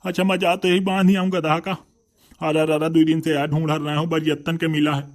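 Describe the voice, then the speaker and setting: A man's voice: fairly high pitch, flat delivery, brisk, a little hiss or hum, natural voice. A man voicing a deferential, plain-spoken villager in a rustic comedy cartoon, with a Bundelkhandi accent. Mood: deferential, eager.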